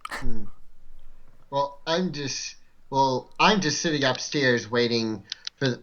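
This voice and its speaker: A man's voice: medium pitch, takes it slowly, fairly bright tone. A man chatting casually as a player in a tabletop game, on a slightly muffled recording. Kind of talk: casual chat.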